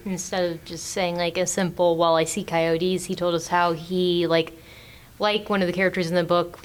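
A young woman in her teens speaks as a podcast panelist. Her voice is a bit low and notably smooth and clean. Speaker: teen reading ambassador, podcast panelist. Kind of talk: scripted reading and casual discussion.

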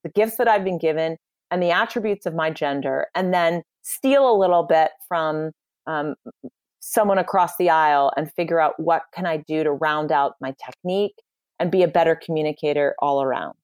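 Low-voiced woman, assured coach, dry close sound. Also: moderate pace, a little mellow, no room sound. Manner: confident, emphatic, advice-giving. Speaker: communication coach for lawyers. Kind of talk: podcast interview answers.

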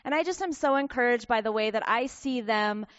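A woman missionary giving an earnest report to a church congregation, with frequent filler pauses. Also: on the high side, smooth, dry recording, dull audio. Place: church sanctuary.

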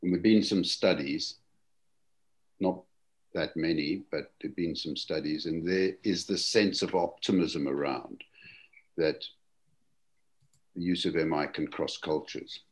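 A man leading a webinar discussion, speaking in a gentle, reflective and courteous way over a video call. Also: sits low, measured pace, pauses often. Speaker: webinar panelist and host.

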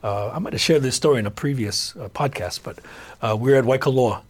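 A middle-aged man hosts a casual podcast chat. His voice is husky and sits at an ordinary pitch. He tells stories loosely, full of fillers and restarts. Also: fast. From Hawaii. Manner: storytelling, many fillers and restarts. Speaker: podcast host.

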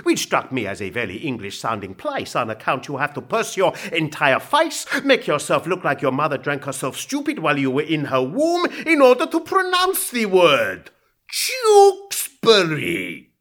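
A wry, mocking storyteller, played by an actor in an audio play. The delivery is theatrical and crude-witted, swinging wildly in pitch and leaning hard on chosen words. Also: huge pitch swings, moderate pace, a bit bright. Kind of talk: dramatic monologue from an audio play.

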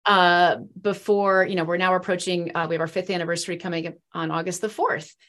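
This is a woman in her forties from the Midwest who talks at length about her life. She comes across as earnest and rambling, with plenty of fillers along the way. Her speaking voice sits a little low. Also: steady tone, fairly quick, fairly bright tone. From East Central Illinois. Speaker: guest. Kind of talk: faith testimony in a podcast interview.

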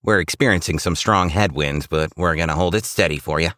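A man acts out a calm reindeer pilot radioing his crew in a comic war story. The delivery is businesslike and low-pitched, recorded dry and close. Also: a little fast, no echo. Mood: steady. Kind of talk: military comedy audio story.

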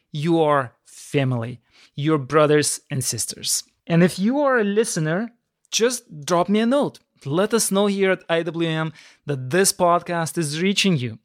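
A man hosting an interview podcast, speaking directly to listeners in a welcoming manner. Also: high-pitched.

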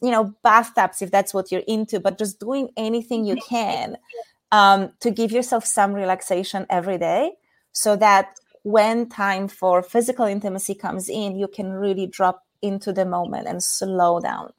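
Polish-accented woman in her thirties, podcast guest, calm and earnest, walks listeners through exercises, medium pitch. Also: normal speed. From Poland. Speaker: intimacy coach guest. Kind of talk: podcast interview.

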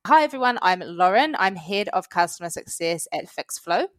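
Chatty New Zealand woman, fairly low voice. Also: expressive, moderate pace, no room sound, clean studio sound. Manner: chatty, friendly, with many "you know" fillers. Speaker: guest, head of customer success. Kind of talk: casual interview answers.

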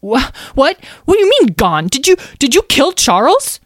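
Woman as a high-pitched, plaintive little mouse. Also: wildly swooping pitch, normal speed, no echo, clean studio sound. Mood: plaintive, alarmed. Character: tiny timid worried mouse, plaintive and suspicious.

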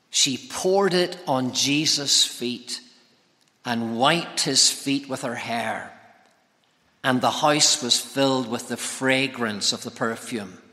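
Bright-voiced man preaching in a reverberant hall. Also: mid-range voice. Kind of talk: expository sermon. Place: large reverberant church or hall.

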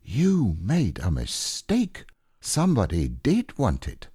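A man's voice: fairly low pitch, huge pitch swings, slow, dark tone, husky, no room sound, studio quality, pitched above the performer's own voice, exaggerated voice. A man voicing a humble, dreamy storybook bear of very little brain, hesitant and wistful. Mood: solemn, sad. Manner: hesitant, wistful, simple-minded. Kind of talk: storybook character reading.

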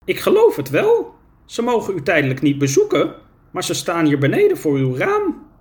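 A man's voice: high-pitched, wildly swooping pitch, normal speed, a little mellow, voice pushed up. A man voicing Oroka, a small eager climber. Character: small nimble young male climber, eager. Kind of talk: storybook reading.

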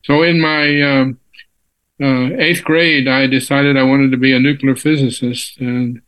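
A ninety-year-old man from Texas answers questions as an interview guest. He talks in an unhurried, halting way, full of pauses and restarts. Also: medium pitch, level tone, fairly bright tone, rough recording. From Texas, USA. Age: 90s. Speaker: physicist guest. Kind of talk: casual interview.

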